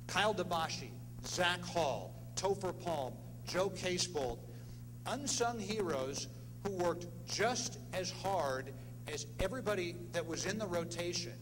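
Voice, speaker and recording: Middle aged guy doing an award speech, emphatic short phrases, big hall reverb, noisy hum behind. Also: on the high side. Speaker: presenter at an athletics hall of fame ceremony. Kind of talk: ceremony speech to an audience. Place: indoor ceremony hall with long reverb.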